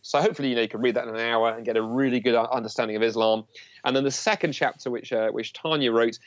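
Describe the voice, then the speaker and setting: An English man being interviewed on the radio, explaining in a lively, good-humoured way. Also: medium pitch, quick pace, no room sound, muffled recording. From England. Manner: animated, explanatory, light humour.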